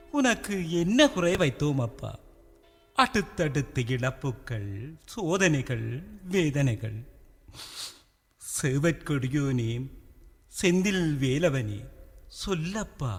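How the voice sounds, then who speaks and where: A man with a Sri Lankan Tamil accent playing an anguished, fatherly royal elder in a radio drama, speaking in solemn, declamatory, drawn-out phrases. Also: fairly high pitch, exaggerated voice. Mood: anguished prayer. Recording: long hall-like reverb.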